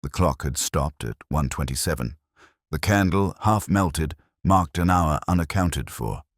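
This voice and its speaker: A man reading scripted first-person narration of a detective mystery in a measured, brooding, literary manner. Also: extremely low pitch, expressive, no echo, clean studio sound. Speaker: audio drama narrator.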